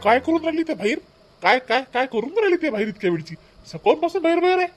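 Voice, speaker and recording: A man's voice: extremely high pitch, big pitch swings, fast talker, over music. A man voicing an irritable, demanding village son and husband in a comic cartoon, repeating himself in a Vidarbha Marathi accent. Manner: demanding, repetitive. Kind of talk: exaggerated comic cartoon dialogue.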